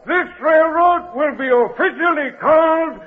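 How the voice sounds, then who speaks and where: Very high-pitched, declaiming pompous mayor. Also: male voice, unhurried. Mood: proud, ceremonial. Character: grand speechifying city mayor, proud and booming. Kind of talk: 1940s radio acting, public oratory. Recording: old lo-fi radio, noisy with crowd.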